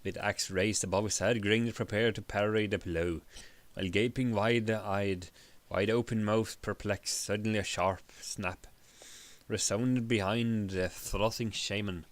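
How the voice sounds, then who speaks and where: A man's voice: fairly low pitch, fairly even intonation, a little slow. A man reading pulp fantasy prose aloud as a theatrical narrator, sometimes stumbling over his words. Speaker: reader.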